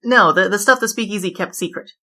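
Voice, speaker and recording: A woman's voice: mid-range voice, quick pace, bright, forceful tone. A woman playing a glib, flirtatious bandit who confesses and argues in a comedic noir audio drama. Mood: glib, argumentative. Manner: glib, digressive, argumentative. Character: brash, chatty, flirtatious female bandit king confessing her crimes. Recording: music bed under the voice.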